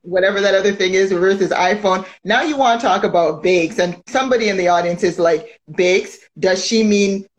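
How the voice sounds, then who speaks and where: A woman's voice: medium pitch, moderate pace, hardly any pauses. A woman hosting a casual interview on a phone, chatty and teasing, often laughing. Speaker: host.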